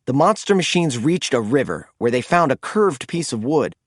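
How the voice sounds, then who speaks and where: A man narrating a children's storybook in an expressive, animated read-aloud style. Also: medium pitch, moderate pace, no echo, studio quality.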